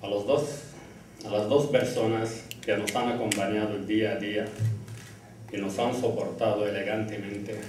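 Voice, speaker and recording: A man's voice: lowish voice, darkish tone, distant mic, slight background noise. A middle-aged man giving a formal graduation speech, reading from notes at a lectern in a classroom. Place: indoor classroom, standing at a lectern. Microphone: lectern mic, filmed by a far camera. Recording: echoey room heard from afar.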